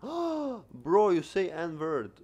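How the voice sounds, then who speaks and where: A man's voice: sits high, wildly swooping pitch, drawn-out words, darkish tone, muffled recording, soft background music. A young man with a Serbo-Croatian accent, trolling strangers on a webcam chat in simple broken English while wearing a headset. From Balkans. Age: young adult. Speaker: video chat prankster. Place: small indoor room. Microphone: headset on webcam call.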